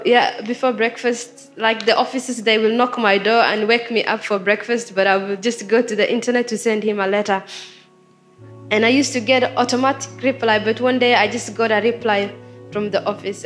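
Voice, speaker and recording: A young woman from Somalia with a Somali accent, telling personal stories casually in informal, halting phrases. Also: mid-range voice, fairly even intonation, soft background music. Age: young adult. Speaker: interview guest, student and storyteller. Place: studio.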